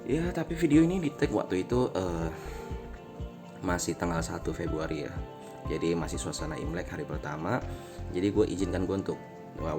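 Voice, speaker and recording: A man in his late twenties with a low voice chats through a casual vlog. He rambles, stops to correct himself and laughs at his own jokes. Music plays clearly behind him. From Indonesia, born in Medan. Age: late 20s. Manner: informal Jakarta slang. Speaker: vlogger. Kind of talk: casual personal vlog talk. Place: riding on a motorbike through traffic, out of doors. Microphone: motovlog helmet camera.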